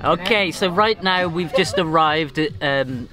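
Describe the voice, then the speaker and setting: A man's voice: on the high side, a little slow, over music. A man from the trip group explaining plainly how the village is reached.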